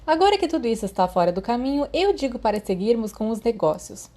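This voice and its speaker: A woman voicing a confident, mischievous teenage schoolboy ringleader in an audiobook, brisk and persuasive. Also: fairly high pitch, very animated, warm tone, pitched above the performer's own voice. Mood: eager, businesslike.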